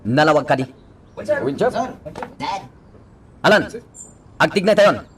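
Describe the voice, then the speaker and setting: A man's voice: on the high side, animated, a little fast, distant mic, background noise, natural voice. A man playing a stern, commanding police chief in an Ilocano radio drama. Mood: urgent, commanding. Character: stern, determined male police chief leading a drug investigation.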